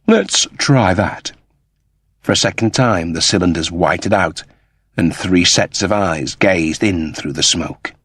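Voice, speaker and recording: A man reading scripted science fiction narration as an audiobook narrator, in a measured, dramatic manner. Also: low voice, fairly bright tone, breathy edge, no room sound, studio quality.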